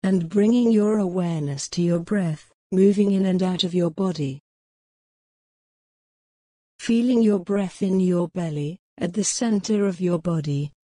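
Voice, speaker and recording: Calm lady doing guided mindfulness, soft mellow tone, really slow, dry close recording. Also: on the low side, no echo. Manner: calm, gentle, unhurried instruction. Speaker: meditation guide. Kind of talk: guided mindfulness meditation narration.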